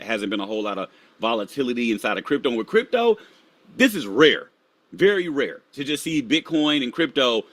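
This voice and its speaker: Male stream host, mid-pitched, casual chart talk, dry close sound. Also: a bit bright, dry recording. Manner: casual, talks to viewers while pulling up charts; drops to a low mutter while searching charts. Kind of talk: live market analysis.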